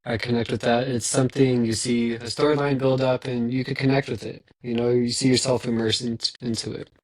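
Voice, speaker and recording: A man's voice: mid-range voice, flat delivery, brisk, a little mellow, low-quality recording. A young man chatting casually as a guest, earnest and prone to repeating himself. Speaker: podcast guest. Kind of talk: casual chat.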